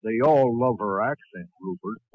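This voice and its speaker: A man playing a folksy dog doctor, telling corny jokes deadpan in a 1940s radio comedy. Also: lowish voice, normal speed, no room sound, close to an everyday voice. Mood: joking. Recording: tinny, narrow 1940s radio recording.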